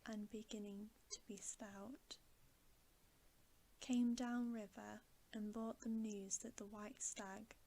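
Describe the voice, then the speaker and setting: Woman, ASMR story reader, soft breathy half-whisper, unhurried, gentle, level pitch, faint background noise. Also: poor audio. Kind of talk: ASMR bedtime story reading.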